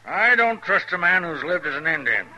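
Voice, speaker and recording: An actor playing a hard, dismissive American cavalry colonel in a 1950s radio western, speaking in a curt, cold, official manner. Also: male voice, a little high, very animated, normal speed, pushed and bright, exaggerated voice. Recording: dull old radio recording, faint noise.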